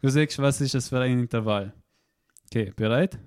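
A man's voice: medium pitch, moderate pace, darkish tone, clear, resonant tone, no echo, studio quality. A man hosting a radio show in a chatty, playful way. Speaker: radio host. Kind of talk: casual radio chat.

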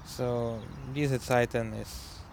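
Man, Ukrainian accent, radio host, slow, halting, many fillers, noisy background. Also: medium pitch, fairly even intonation, vocal fry. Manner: halting non-native German, many fillers and restarts. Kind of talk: casual radio music talk.

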